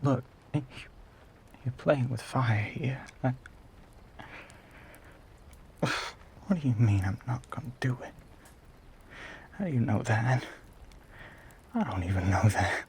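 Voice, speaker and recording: A brooding, lonely vampire gentleman of the American South, played by a man for an intimate audio roleplay. He speaks slowly and haltingly in a Southern accent. His breathy voice often sinks into a whisper as he wrestles with his hunger. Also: medium pitch, voice pushed down, natural voice. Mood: wary, incredulous. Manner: halting, hesitant, drawn-out phrases.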